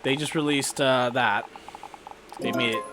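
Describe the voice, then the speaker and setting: A man's voice: medium pitch, steady tone, unhurried, over music, low-quality recording. A man giving casual, rambling commentary and stories while playing a game, with an irreverent, sweary manner. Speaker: gaming video creator narrating gameplay.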